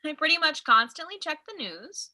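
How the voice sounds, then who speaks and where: Female student actress, high and sharp, slow halting delivery: anxious, overwhelmed, wry, naturalistic play reading. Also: big pitch swings, heavily acted. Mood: anxious, defensive. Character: overwhelmed, anxious young woman college student, self-deprecating. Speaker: actor in a staged play reading. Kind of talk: naturalistic dramatic dialogue, read online.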